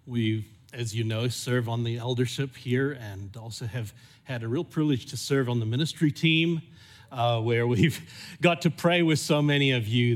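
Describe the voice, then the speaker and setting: A middle-aged man with a mixed American and southern African accent, preaching a heartfelt sermon as a church elder, with frequent ums. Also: mid-range voice. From California. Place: church service.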